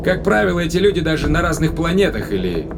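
A man playing a matter-of-fact human astronaut, explaining things in a measured way in a science fiction audio drama. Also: on the high side, very animated, gravelly. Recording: loud music behind.

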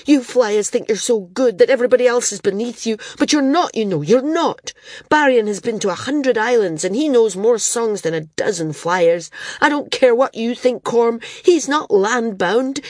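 A woman voicing a tearful, defiant young boy who shouts in raw, pleading bursts. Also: on the high side, no echo, clean studio sound, voice pushed up.

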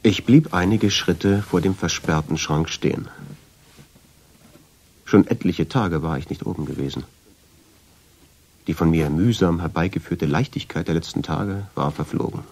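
A guilt-ridden man recounting his own tale for a radio drama. His voice sits very low. He speaks in measured, literary sentences with a brooding, wry undertone. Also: darkish tone. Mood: uneasy, tense. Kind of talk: scripted first-person radio drama narration.

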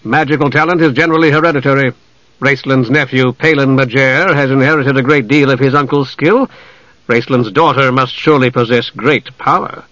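Man acting a cold, quiet dark elf wizard. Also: mid-range voice, fairly even intonation, no room sound, lo-fi sound, close to an everyday voice. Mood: measured, probing. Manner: quiet, measured, sardonic. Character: cold, suspicious male dark elf wizard, softly menacing.

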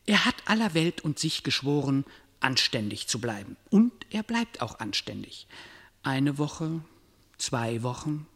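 A man's voice: mid-range voice, sing-song, unhurried, a bit bright, heavily acted. Reverberant, portentous male narrator. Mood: solemn. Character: detached, portentous storyteller. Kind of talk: formal scripted radio drama narration.

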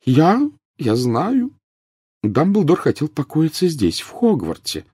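A brisk older schoolmistress from a fantasy storybook, acted out by a man. The pitch is lifted and lively, with a businesslike manner and a husky edge. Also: no echo, clean studio sound. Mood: faltering, subdued. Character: brisk, businesslike older female professor of magic.

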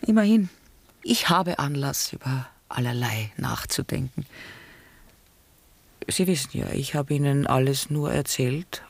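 An actress playing a weary, reflective middle-aged married woman in a German radio drama, with a breathy, hushed and grave delivery. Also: extremely low pitch, no echo, exaggerated voice. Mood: pensive. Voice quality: husky, breathy. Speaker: actor.

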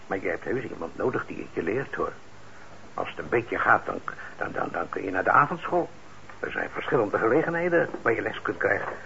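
An actor in a radio play as a kindly, trusting middle-aged shopkeeper, speaking gently and haltingly with patient encouragement. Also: male voice, lowish voice, animated, vocal fry, heavily acted. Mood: encouraging. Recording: dull, noisy old radio recording.

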